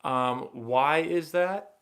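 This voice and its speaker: A young man hosting a live baseball stream, chatting casually and rambling excitably as he answers viewer questions. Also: on the high side, very slow. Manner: rambling and excitable, many fillers and restarts.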